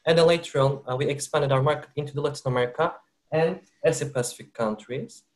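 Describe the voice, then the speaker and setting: Male webinar host, fairly high voice, measured and hesitant delivery. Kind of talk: corporate webinar presentation. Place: works for a company based in Turkey.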